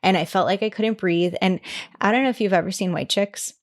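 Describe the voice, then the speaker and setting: A woman in her twenties chatting candidly as a podcast guest, rambling with lots of "like" fillers and animated retellings. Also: mid-range voice, fairly quick. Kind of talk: candid conversational chat.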